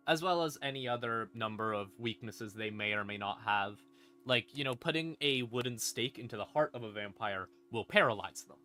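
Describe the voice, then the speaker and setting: A man streaming a casual lore explainer in an informal, sweary, conversational way, with emphatic, dramatic stresses and drawn-out words. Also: medium pitch, pushed and bright. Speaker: streamer, host. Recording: music playing behind the voice. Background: creepy ambient music.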